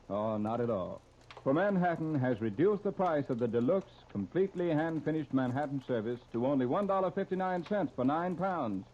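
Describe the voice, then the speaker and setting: Higher-voiced 1930s American radio announcer, dull old recording. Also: male voice. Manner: polished, emphatic scripted delivery. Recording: dull, noisy 1939 radio transcription disc.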